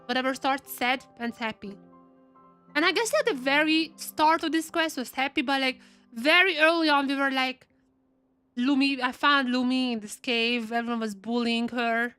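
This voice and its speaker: A woman's voice: high-pitched, normal speed, bright, forceful tone, smooth, soft background music. A woman live streaming and reacting casually to a game, chatty and repeating phrases for emphasis.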